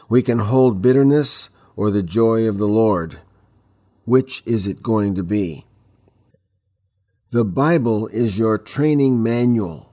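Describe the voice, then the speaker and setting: A man's voice: mid-range voice, mellow. A man delivering a scripted devotional teaching, exhorting listeners with reflective rhetorical questions.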